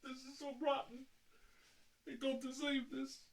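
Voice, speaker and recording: A man's voice: very high voice, monotone, a little slow, creaky, background noise, heavily acted. A man playing a whiny, foul-mouthed grown son who lives with his mother, complaining and rambling in a comedy sketch. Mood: self-pitying. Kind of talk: improvised comedy roleplay sketch.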